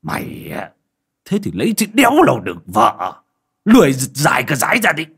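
Male narrator voicing a hot-tempered old rustic father, loud scolding, deep drawl. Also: sing-song, unhurried, breathy edge, exaggerated voice. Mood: yelling.

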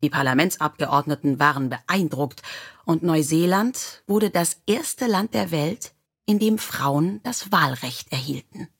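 A woman's voice: low-pitched, lively intonation, measured pace, gravelly, slightly rough. A woman reading short biographical stories in a scripted storybook narration style. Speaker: audiobook narrator.

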